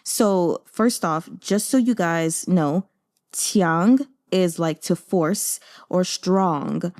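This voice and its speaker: A woman's voice: lowish voice, extremely slow, a little mellow. A woman hosting a book discussion in a casual, chatty style, speaking informally with slangy, opinionated and emphatic commentary.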